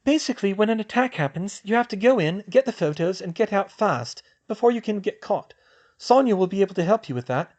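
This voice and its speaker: This is a man reading a clever, determined teenage witch, decisive and organized. The voice sits high for a man and moves lively up and down. She sounds fast and sure of her plans. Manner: brisk and decisive. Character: sharp, determined teen witch, organized planner.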